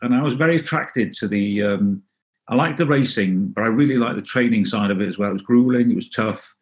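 A middle-aged man from North Wales, Welsh as his first language, answering interview questions in a halting, casual way with many restarts. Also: on the low side, highs cut off. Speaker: interview guest.

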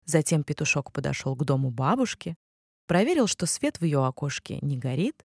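A woman narrates a children's fairy tale with a soft, sing-song rhythm, her fairly low voice rising and falling in a lively way. The recording is close and dry, with no room sound. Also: normal speed, vocal fry, slightly rough, dry recording. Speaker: storyteller.

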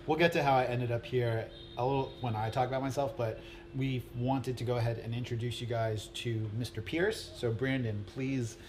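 A man's voice: medium pitch, steady tone, normal speed, a little hiss or hum. A man who teaches English, a guest on a vlog, talking eagerly and promoting his own channel. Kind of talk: casual chat.

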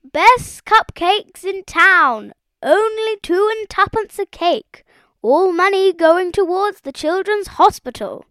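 A woman voicing a short, squat, boastful male frog with a pompous, excitable manner in a children's story. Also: sits high, unhurried, no room sound, pitched above the performer's own voice. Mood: loud hawking.

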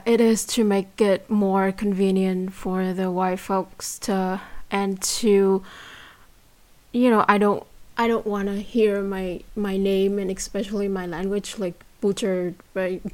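A woman's voice: medium pitch, darkish tone. Hesitant young woman with Vietnamese accent. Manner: hesitant, many fillers and restarts. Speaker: guest. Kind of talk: casual interview chat.

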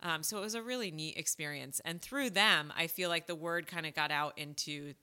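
A woman's voice: deep voice, fairly quick. A woman in her forties, a doula, chatting in an interview and animatedly telling stories. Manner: animated storyteller, acts out quoted voices. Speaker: doula, guest. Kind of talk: casual interview chat.

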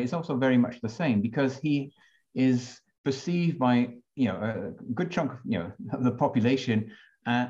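A man's voice: medium pitch, mellow, dull audio. A French-born man on a discussion panel, talking with many restarts and fillers. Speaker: panel guest.

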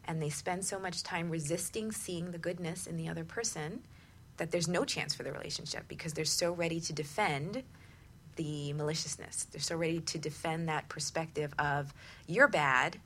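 A woman's voice: deep voice, fairly even intonation, moderate pace, fairly bright tone, slight background noise. A woman hosting a talk show, giving conversational advice in an emphatic manner.

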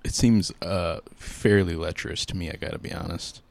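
A young man co-hosting a podcast in casual chat, giving dry, measured, skeptical replies into a podcast mic. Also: low-pitched, vocal fry, a little mellow. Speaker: podcast co-host.

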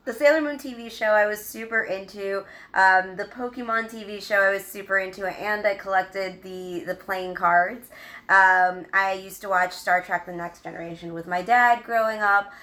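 A woman in her thirties from the United States, a guest talking casually in an interview, halting with many ums and restarts. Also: medium pitch, fairly even intonation, bright, forceful tone.

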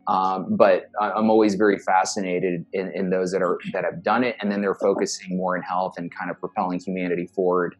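A man's voice: deep voice, level tone, a little fast, hardly any pauses, poor audio. A man hosting a health podcast, enthusiastic and rambling, often repeating words as he asks questions. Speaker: podcast host. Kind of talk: hosting an interview.

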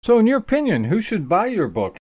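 A man with a fairly high, very lively voice asks an author his questions. His voice sounds dry and close, with no room echo. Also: a little fast, husky. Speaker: interviewer. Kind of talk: asking interview questions.

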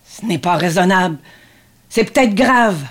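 A woman's voice: on the low side, expressive, a little slow. A woman playing a needy, sardonic grown son devoted to his mother, in a dramatic script reading. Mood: worried.

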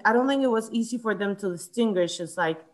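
A woman's voice: mid-range voice, fairly quick. A woman from Chile discussing a book in casual conversation, with frequent fillers, restarts and hesitations. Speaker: book club member.

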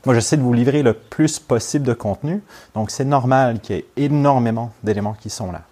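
A man's voice: mid-range voice, brisk, warm tone. A young man from Montreal with a Québécois French accent and English as his first language, opening a training course in a chatty, informal way and checking in with his class. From Montreal, Quebec, Canada. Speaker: neurofeedback trainer.

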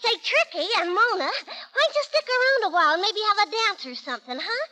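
An actress playing a brassy, chummy, slangy young moll in 1930s radio comedy style. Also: female voice, very high voice, sing-song, fast talker, bright, forceful tone, exaggerated voice, low-quality recording. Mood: brash, chummy. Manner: pushy, slangy, exuberant. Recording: vintage 1930s radio broadcast.

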